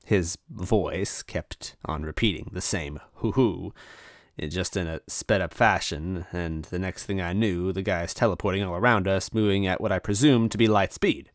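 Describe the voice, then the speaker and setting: A man reading a first-person story aloud in an expressive narrating style. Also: low-pitched, moderate pace, dry recording, studio quality. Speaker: story reader.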